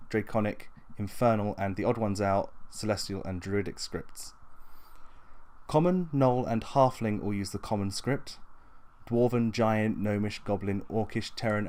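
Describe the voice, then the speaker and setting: A man's voice: fairly low pitch, a little mellow. A man hosting a tabletop gaming explainer, narrating in a matter-of-fact, instructional way from loosely scripted notes. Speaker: tabletop gaming video host.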